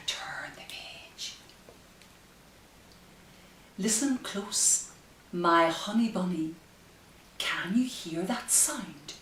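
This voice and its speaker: Lady doing story time for little kids, slow n gentle, bit far from the mic in an echoey room. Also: mid-range voice. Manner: gentle, hushed asides, drawn-out expressive phrasing. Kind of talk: reading picture books aloud to young children. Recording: roomy, distant mic with faint hiss.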